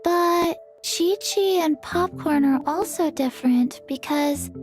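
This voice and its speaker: Earnest little game girl, high pitch, drawn-out halting delivery, calm, methodical. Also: over music. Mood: calm, thoughtful. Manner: halting, deliberate phrasing. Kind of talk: animated game dialogue.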